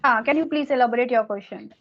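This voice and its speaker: A woman with an Indian English accent, Hindi her first language, interviewing as an English teacher and pausing often with fillers. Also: on the high side, dull audio. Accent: Indian English, Hindi speaker. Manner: hesitant, many filler sounds, repeats points. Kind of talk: casual conversational interview.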